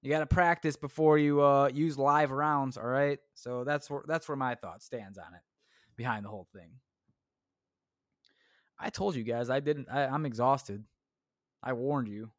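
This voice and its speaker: A man's voice: mid-range voice, a little slow, pauses often, dry recording, clean studio sound. A young man hosting his own podcast, rambling crudely and mocking himself, swearing often. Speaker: podcast host. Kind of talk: solo comedic podcast rant.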